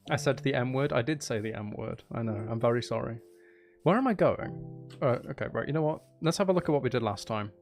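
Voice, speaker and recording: A man chatting casually as he hosts a live game stream, playfully mock-dramatic and self-deprecating with his viewers. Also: medium pitch. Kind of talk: casual chatty gaming livestream talk with viewers.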